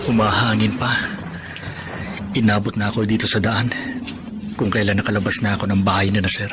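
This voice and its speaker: An actor with a Filipino accent playing an earnest, hardworking young blind student in a radio drama. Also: male voice, fairly low pitch, level tone, brisk, close to an everyday voice. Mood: worried. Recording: radio drama with noisy ambience behind.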